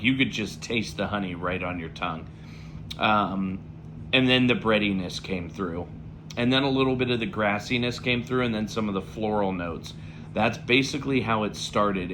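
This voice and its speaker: A man with a slightly raspy voice, still getting over an illness, talking through a pipe tobacco review in a relaxed, rambling way with lots of fillers. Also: lowish voice, background noise. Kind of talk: casual spoken review.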